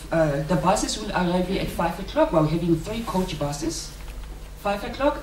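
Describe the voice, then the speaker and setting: A woman's voice: lowish voice, darkish tone. South African woman addressing delegates, roomy sound. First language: South Sotho. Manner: jokey, colloquial, addressing colleagues. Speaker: conference organiser giving welcome and housekeeping announcements. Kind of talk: informal conference announcements. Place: indoor conference venue, speaking to delegates. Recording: roomy, distant microphone, clear background noise.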